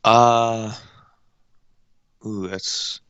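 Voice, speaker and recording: A man's voice: on the low side, level tone, very slow, fairly bright tone, smooth, no room sound, muffled recording. A young man in his late teens, a voice actor and director, answering interview questions in a hesitant, modest way with frequent ums. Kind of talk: casual interview chat.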